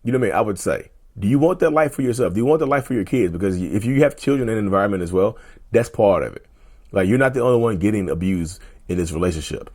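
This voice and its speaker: A man hosting a casual mental health vlog, talking straight to viewers in a loose, repetitive way, his voice going a little hoarse. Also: on the low side, expressive, fast, a little mellow. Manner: conversational, repeats himself, often addresses viewers as "y'all". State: voice getting hoarse. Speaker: mental health video host. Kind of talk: casual direct-to-camera talk.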